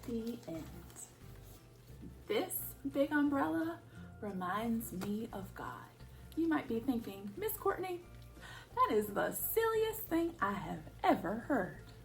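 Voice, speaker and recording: A woman's voice: on the high side, gravelly, recorded from a distance. A woman reading a picture book aloud for young children, expressively and with questions to her listeners. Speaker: children's storyteller at a church.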